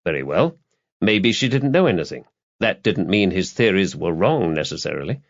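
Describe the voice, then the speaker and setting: A man reading a crime novel as an audiobook narrator, with measured, dramatic storytelling. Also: sits low, very animated, lo-fi sound.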